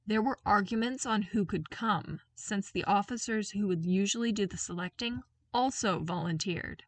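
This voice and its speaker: A woman voicing a calm, respectful male military commander in a story, measured and gentle. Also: mid-range voice, distant mic, close to an everyday voice.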